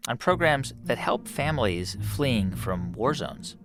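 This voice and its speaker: Animated male radio host, slightly creaky voice. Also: mid-range voice, measured pace. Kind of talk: scripted narration and interviewing. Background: music bed.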